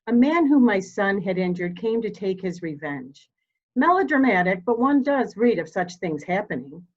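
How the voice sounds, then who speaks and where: A woman acting a steely, dying elderly mother in a mystery drama reading, speaking formally and deliberately. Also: medium pitch, sing-song, muffled recording. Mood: grave, resolute.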